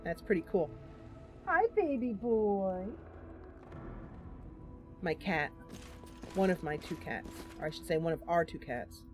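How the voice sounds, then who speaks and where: A woman's voice: fairly low pitch, expressive, slow pace, pauses often, a little mellow, soft background music. A woman hosting a game stream, giving casual live commentary and thinking aloud through her choices as she chats to viewers. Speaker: game streamer, host of the channel.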